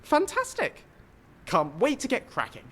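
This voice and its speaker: A man voicing an eager, skeptical scholar in a fantasy game, explaining things in an animated, didactic way. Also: extremely high pitch, a little slow, a little hiss or hum, exaggerated voice. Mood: excited. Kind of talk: fantasy video game dialogue.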